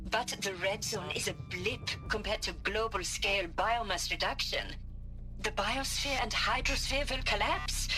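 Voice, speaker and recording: An actress playing a haunted, defiant expert in a game, speaking in a weary, pointed and deliberate way. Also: female voice, on the high side, close to an everyday voice. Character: haunted, defiant woman expert facing catastrophe. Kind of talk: dramatic video game acting. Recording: music behind the voice.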